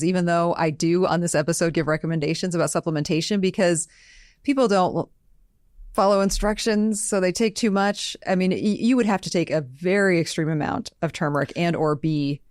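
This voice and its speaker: A woman's voice: on the low side, fairly quick, dry recording, studio quality. An American woman co-hosting a health Q&A podcast, chatty and explanatory in a conversational style. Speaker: podcast co-host and nutritional therapy practitioner.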